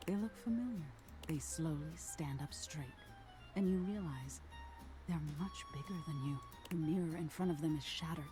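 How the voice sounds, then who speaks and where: A woman voicing an eerie nightmare spirit in a video game, telling a soft, ominous tale in a hushed, whispery voice. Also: sits low, music in the background, close to an everyday voice. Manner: slow, soothing, ominous storytelling. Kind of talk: game character narration.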